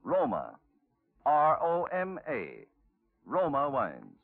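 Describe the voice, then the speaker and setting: A man's voice: a little high, huge pitch swings, takes it slowly, gravelly, no room sound. A man announcing a scripted radio commercial in a formal, emphatic 1940s style. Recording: dry, tinny 1940s radio recording.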